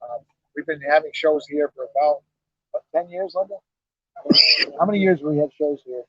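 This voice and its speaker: A middle-aged man talks in an easygoing, folksy way at an outdoor car show. His voice is husky and a little breathy. The sound is dry and dull, like a lo-fi live stream. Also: on the high side, moderate pace, no echo. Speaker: car club president and garage owner. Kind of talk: casual on-site interview.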